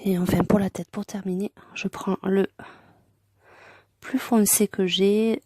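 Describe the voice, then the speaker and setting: A woman's voice: medium pitch, fairly even intonation, unhurried, warm tone, breathy edge, dry recording, clean studio sound. A woman with a head cold hosting an informal hands-on colouring tutorial, murmuring hesitant, short step-by-step remarks as she works. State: congested with a cold.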